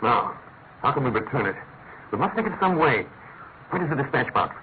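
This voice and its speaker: A man playing a shrewd English gentleman detective in a radio drama, clipped, authoritative and self-assured. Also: mid-range voice, big pitch swings, fairly quick. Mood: brisk, urgent. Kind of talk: classic radio drama acting. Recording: thin phone-like radio sound, clear noise.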